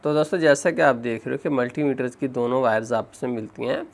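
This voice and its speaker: A man's voice: medium pitch, quick pace, creaky. A man narrating a hands-on repair tutorial step by step, often addressing viewers as friends. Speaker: phone repair instructor.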